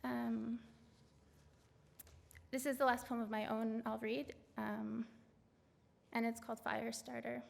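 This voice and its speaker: Woman poet, gravelly, in a reverberant hall. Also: on the high side, flat delivery. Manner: hesitant, filler-laden asides between measured poem readings. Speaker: poet reading her work. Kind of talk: poetry reading with spoken introductions. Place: large auditorium stage.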